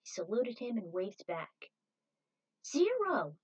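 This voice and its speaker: A woman's voice: medium pitch, normal speed, a little mellow, dull audio. A woman reading her own fan fiction aloud as a scripted story narration.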